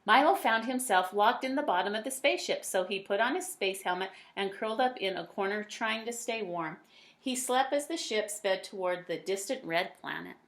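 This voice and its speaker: A woman reading a children's picture book aloud, with dramatic emphasis and drawn-out words. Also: medium pitch, a bit bright. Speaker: read-aloud storyteller.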